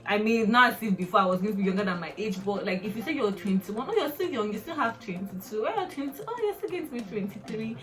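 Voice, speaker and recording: A woman's voice: medium pitch, lively intonation, quick pace, few pauses, faint music behind. A woman in her twenties with a Nigerian accent, chatting in a rambling, laughing way on her own vlog. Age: 20s. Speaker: vlogger. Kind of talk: casual personal vlog.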